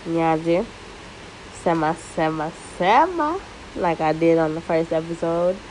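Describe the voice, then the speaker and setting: Woman, young, podcast host, lower pitch, lively casual chat, Swahili slang mixed in, faint background hiss. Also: measured pace, rough recording. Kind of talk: casual solo podcast monologue. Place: living in the United States.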